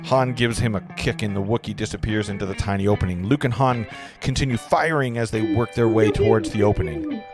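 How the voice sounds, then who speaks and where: A man's voice: lowish voice, a little fast. A man reading stage directions as narrator at a script table read, stumbling over words now and then. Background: clear guitar music.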